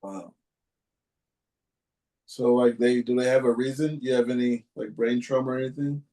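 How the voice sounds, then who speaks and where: A male podcast host with a mellow, mid-pitched voice. He chats loosely and reads long passages aloud in a halting, even manner. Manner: laid-back, reads in a halting steady way. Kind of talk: casual chat and reading a listener post aloud.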